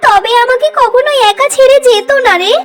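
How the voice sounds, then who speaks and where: Woman as a very high-pitched protective mother bird. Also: normal speed. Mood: tender, sad. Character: protective, wounded mother tailorbird in a children's cartoon.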